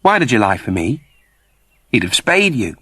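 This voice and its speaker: A man's voice: medium pitch, big pitch swings, moderate pace, sharp tone, slightly rough, no echo, pitched above the performer's own voice, exaggerated voice. A man voicing a submissive but proud shapeshifting alien in a sci-fi story, speaking formally and earnestly. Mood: resigned.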